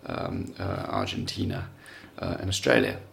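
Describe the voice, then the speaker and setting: A man's voice: extremely low pitch, sing-song, moderate pace, creaky, a bit bright, a little hiss or hum. A middle-aged man from South Africa, chatting as a guest in a rambling, self-deprecating way with many fillers. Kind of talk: casual chat.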